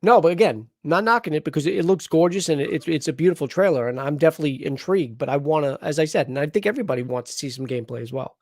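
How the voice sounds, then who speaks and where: A man hosts a casual live chat, talking in an animated, rambling way full of restarts. His voice sits a bit high, and the sound is dry and close with no room echo. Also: very fast, few pauses. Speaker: host.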